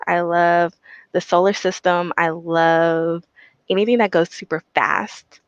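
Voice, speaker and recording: A woman's voice: lowish voice, a bit bright, dry recording, highs cut off. A young woman from Texas giving an upbeat, enthusiastic talk to teens about her work as a systems engineer. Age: young adult. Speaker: systems engineer, guest speaker.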